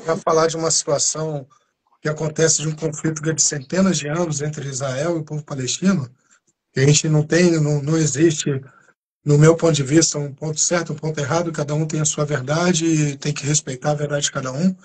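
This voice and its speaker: A Brazilian man hosts a live video stream, talking in a loose, rambling way and greeting viewers as he goes. His voice is slightly husky and sits a little high. It sounds like a home computer mic. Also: steady tone, fairly quick, darkish tone. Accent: Brazilian Portuguese. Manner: casual, thanks viewers by name, rambling restarts. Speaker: channel host. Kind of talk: live stream interview chat.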